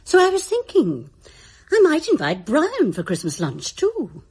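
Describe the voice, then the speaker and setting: An actress playing an older, wistful, gently conservative mother in a radio drama, genteel and chatty. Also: high voice, wildly swooping pitch, unhurried, exaggerated voice.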